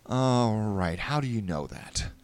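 A man acts out a gruff action hero in an audio drama. He is blunt and exclamatory, his natural register low. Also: animated, takes it slowly, no room sound. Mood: puzzled.

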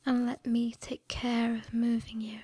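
A woman in a scripted hypnosis ASMR style, mostly whispering breathily in a hushed, deliberate, soothing yet commanding manner. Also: fairly high pitch, completely flat delivery, slightly rough, no echo. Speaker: erotic hypnosis audio performer.

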